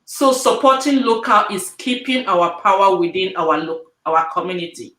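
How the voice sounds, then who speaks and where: A woman's voice: mid-range voice, animated, moderate pace, a bit bright. A woman who runs a logistics business, speaking earnestly and persuasively on a panel, repeating her points, over a video call. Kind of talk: casual panel chat. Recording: echoey room on a video call.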